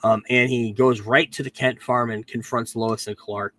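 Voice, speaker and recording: A man's voice: mid-range voice, steady tone, normal speed, bright, forceful tone, no echo. A man talking casually as a podcast host, rambling through a plot recap with lots of ums and self-corrections, getting over a cold and losing his voice. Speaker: podcast host reviewing a TV series.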